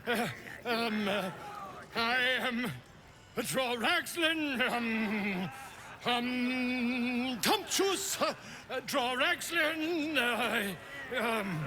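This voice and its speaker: High-pitched flustered, stammering bard. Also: male voice, animated, noisy background, heavily acted. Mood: nervous, halting. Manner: halting, stammering, throat-clearing. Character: flustered stammering bard reciting a fawning ballad. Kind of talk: fantasy game character acting.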